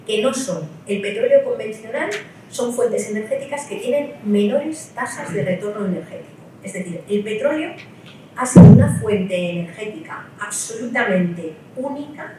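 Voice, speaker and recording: A woman's voice: medium pitch, normal speed, mellow, a little hiss or hum. A woman from Spain giving a public lecture at a political meeting. She explains her points step by step and often checks in with the audience through short rhetorical tags. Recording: echoey hall, mic at a distance.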